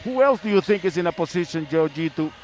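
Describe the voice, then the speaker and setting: A man's voice: fairly high pitch, expressive, fast. A man hosting a sports broadcast, talking basketball conversationally and repeating words as he builds up his questions.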